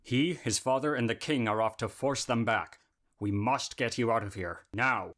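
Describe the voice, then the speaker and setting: A man playing a dutiful royal attendant in an ASMR roleplay, with a formal, earnest and measured way of speaking. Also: mid-range voice, fairly bright tone, close to an everyday voice. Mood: urgent.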